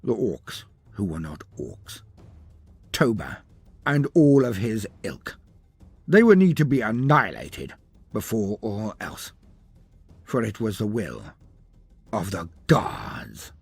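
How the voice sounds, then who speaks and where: A man with a lowish, raspy voice narrates a fantasy tale with theatrical emphasis. His pitch rises and falls dramatically across short, weighted phrases. The sound is close and dry. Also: wildly swooping pitch, slow, long pauses, dry recording, soft background music. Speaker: storyteller narrator.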